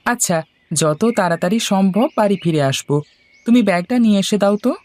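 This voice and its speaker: Man voicing a high-pitched, playful young husband. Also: fairly quick, darkish tone, lightly acted. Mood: affectionate, playful. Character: good-natured, playful young husband who works as barber. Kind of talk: Bengali cartoon dialogue.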